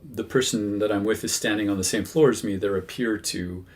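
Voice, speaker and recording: Low-voiced man chatting easily, full of fillers. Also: a little fast. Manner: conversational, many fillers and self-corrections. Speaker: medium, channel host. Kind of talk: casual talk to camera.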